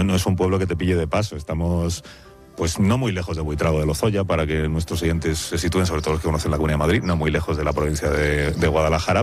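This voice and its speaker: Male radio host, very low pitch, conversational and playful, outdoors. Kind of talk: on-location radio interview. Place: village square outdoors.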